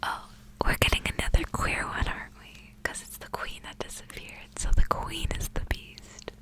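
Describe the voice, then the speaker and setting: A woman speaking in a soft, breathy, intimate whisper, as an ASMR host. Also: moderate pace. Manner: soft, hushed, intimate delivery. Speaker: ASMR host reading aloud. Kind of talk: whispered ASMR storybook reading with casual asides.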